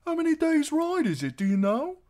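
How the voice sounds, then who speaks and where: An eager young chatterbox of a boy, acted by a man in a storybook reading. The voice jumps very high and is naive and blurting. He has no guile at all. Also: huge pitch swings, brisk, darkish tone, full character voice.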